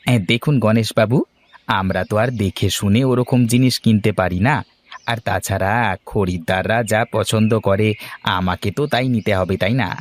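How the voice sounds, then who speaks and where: A man's voice: fairly low pitch, measured pace, close to an everyday voice. A man voicing a businesslike, dismissive produce trader in a cartoon story, with a matter-of-fact manner. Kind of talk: Bengali cartoon story voice acting.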